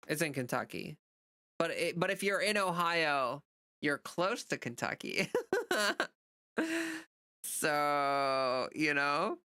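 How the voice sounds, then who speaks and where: A woman's voice: low voice, pushed and bright, dry recording, studio quality. An American woman livestreamer chatting casually and off the cuff, rambling informally as she answers viewer comments. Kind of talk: casual unscripted chat.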